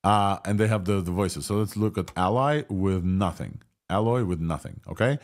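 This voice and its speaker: A man in his thirties with a Russian-Israeli accent reacts live to new tech. He talks excitedly and rambles, with a slightly low voice. He records through a headset mic at home. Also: normal speed. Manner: excited, rambling, laughs often. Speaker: tech show host. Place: home room, indoors.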